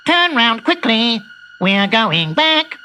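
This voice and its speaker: A man's voice: very high voice, big pitch swings, slow pace, sharp tone, slight background noise, voice pushed up, full character voice. A man voicing Captain Silversides, a flustered alien captain. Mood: dismayed. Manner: urgent commands. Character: flustered alien saucer captain, male, commanding.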